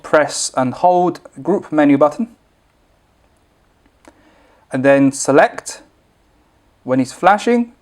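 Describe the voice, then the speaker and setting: A man presenting an informal product tutorial, explaining each step in a plain, instructional way. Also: fairly high pitch.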